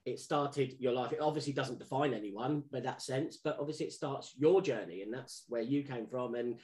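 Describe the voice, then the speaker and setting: A man's voice: mid-range voice, normal speed, darkish tone. A man hosting a podcast, asking long, rambling questions with restarts. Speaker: podcast host. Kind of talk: podcast interview.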